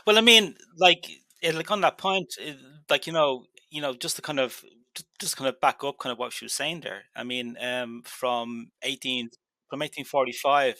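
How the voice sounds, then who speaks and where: A man's voice: mid-range voice, moderate pace, sharp tone, dry recording. A male podcast host in casual conversation who hedges with lots of fillers before making his point.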